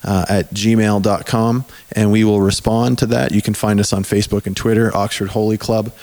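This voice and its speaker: A man hosting a podcast in casual chat, his voice hoarse and mostly gone, talking loosely and restarting his sentences. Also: fairly low pitch, little pitch movement, normal speed, a little mellow. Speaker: podcast host.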